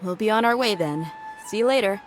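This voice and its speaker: A woman's voice: mid-range voice, animated, fairly quick, loud music behind the voice, natural voice. A woman voicing a blunt, easygoing young mercenary in a video game, casual in manner.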